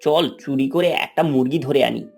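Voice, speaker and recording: A man playing an eager, scheming ten-year-old village boy in an animated moral story. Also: medium pitch, normal speed, gravelly, slightly rough. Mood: conspiratorial.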